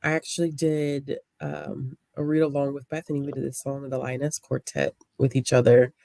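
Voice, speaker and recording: Female panel guest, relaxed conversational delivery, everyday casual chat. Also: very deep voice, moderate pace, gravelly, no room sound. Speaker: panel guest on a book live show.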